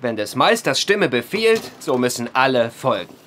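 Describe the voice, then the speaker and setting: An actor playing a reluctant, cheeky young farm son in a radio drama, sardonic and protesting. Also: male voice, medium pitch, sing-song, moderate pace, a bit bright. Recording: noisy ambient background.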